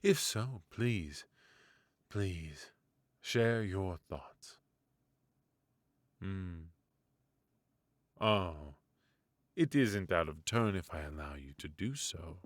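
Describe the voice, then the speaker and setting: Man acting as a resentful prince-like royal mentor, very low voice, wry formal phrasing, close dry sound, fantasy ASMR roleplay. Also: animated, dry recording, heavily acted. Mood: amused, commanding. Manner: formal, measured, wry, with musing hums and soft chuckles. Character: forgotten adult male royal mentor, resentful, haughty yet indulgent.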